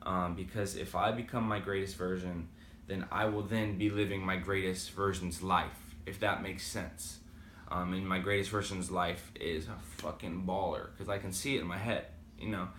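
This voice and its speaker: A man's voice: deep voice, steady tone, moderate pace, fairly bright tone, roomy sound, slight background noise. A man with a slight Boston accent talking casually and rambling with many fillers in a motivational vlog. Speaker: vlogger. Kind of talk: casual motivational talk to camera.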